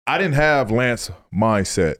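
A man hosting a podcast in casual chat, relaxed and easygoing, backing up a guest's stories. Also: mid-range voice, slow. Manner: relaxed, chimes in with short backchannels, laughs easily. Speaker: podcast host, former pro basketball player.

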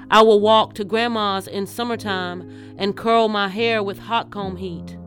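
A woman poet reading her poem aloud in a measured, deliberate way. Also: medium pitch, fairly bright tone. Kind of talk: poetry reading. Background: music.

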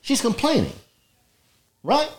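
A man's voice: very high voice, huge pitch swings, slow pace, noisy background. A man in his sixties, a father joining his daughter's podcast, talking casually and joking, often repeating words. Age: 60s. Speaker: podcast guest, father of the co-host.

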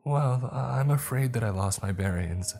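A man's voice: medium pitch, lively intonation, measured pace, gravelly, a little mellow, soft background music, pitched above the performer's own voice. A man voicing a jittery woman who is lost in a graveyard at night, nervous and apologetic. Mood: anxious.

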